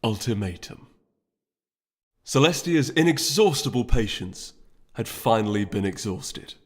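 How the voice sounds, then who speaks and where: A man's voice: lowish voice, lively intonation, measured pace. A man narrating fantasy fiction from a script, as an audiobook reader. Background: music.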